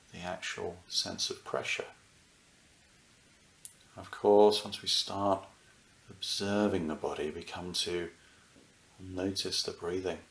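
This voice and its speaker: A man leading a guided meditation as a teacher, speaking soothingly in short phrases with unhurried pauses. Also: sits low, vocal fry, reverberant. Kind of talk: guided meditation, unscripted and gentle.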